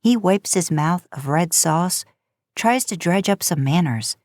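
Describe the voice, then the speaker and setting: Woman narrating a story audiobook style, kinda low voice, really dry sound no room. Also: animated, measured pace, a little mellow, no room sound. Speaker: podcast host and fan fiction narrator.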